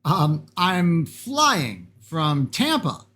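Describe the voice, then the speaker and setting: A man's voice: on the high side, wildly swooping pitch, extremely slow, sharp tone. Chatty, upbeat man with a Massachusetts accent. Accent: Boston-area Massachusetts. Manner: chatty, enthusiastic, reads questions aloud. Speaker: IT trainer demoing practice exam software. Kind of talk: casual screen-recorded software walkthrough.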